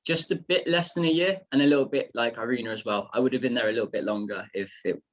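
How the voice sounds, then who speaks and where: Podcast guest, male, 20s, English accent, medium pitch, informal conversational delivery. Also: fast, lo-fi sound. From England. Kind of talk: casual chat.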